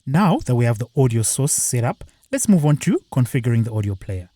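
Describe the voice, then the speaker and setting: A man presenting a screen-recorded software tutorial, explaining each step plainly with the odd filler. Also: medium pitch, big pitch swings, fairly quick, no room sound.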